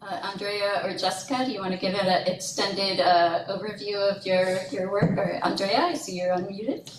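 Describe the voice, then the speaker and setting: A woman's voice: medium pitch, normal speed. A woman moderating a panel over a video call, brief and courteous. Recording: roomy, distant call audio with faint noise.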